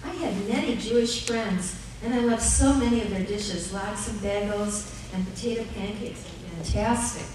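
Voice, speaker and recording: A woman playing a kindly, encouraging mother with a measured, gracious manner in a live radio play. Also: mid-range voice, close to an everyday voice. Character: kindly, encouraging mother, also narrating memories. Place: live stage in arts center. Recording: echoey hall, distant mic, noisy.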